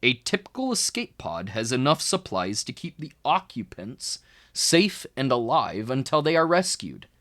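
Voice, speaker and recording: A man reading a children's book aloud as a tutor, in expressive educational narration with deliberate phrasing and emphasis on key words. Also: medium pitch.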